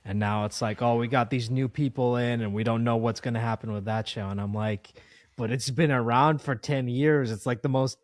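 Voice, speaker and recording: A man's voice: medium pitch, fairly even intonation, brisk, dry recording, studio quality. A man hosting an interview in casual chat, talking like an exasperated fan. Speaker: interview host.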